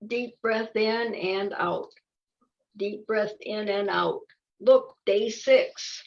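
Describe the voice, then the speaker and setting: Older woman reading as an impatient, creaky little caterpillar. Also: medium pitch, very slow. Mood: self-coaching. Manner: eager, self-coaching, exclamatory. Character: impatient, wisecracking young male caterpillar, boastful yet anxious. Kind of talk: children's picture book read-aloud. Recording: dull lo-fi audio.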